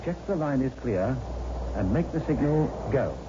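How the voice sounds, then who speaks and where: An actor playing a sceptical, reassuring and slightly impatient husband in a 1960s radio drama. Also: male voice, mid-range voice, animated, moderate pace, natural voice. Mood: concerned. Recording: noisy, muffled old radio recording.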